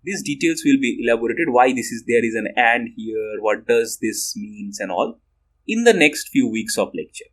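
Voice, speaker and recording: A middle-aged man with an Indian English accent, giving a recorded university programming lecture and often restarting his sentences. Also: moderate pace. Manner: rambling with restarts, lively rising and falling pitch.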